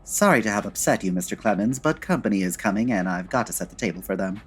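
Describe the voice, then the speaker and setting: An actor playing a suave, wry gentleman detective with husky, urbane delivery in a comedic radio-style drama. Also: on the low side, animated, quick pace, very noisy, lightly acted. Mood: wry, polite.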